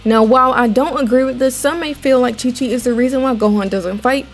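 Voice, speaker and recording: Higher-pitched young woman, conversational commentary. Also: fairly quick, soft background music. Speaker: anime commentary video host. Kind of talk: conversational opinion commentary voice-over.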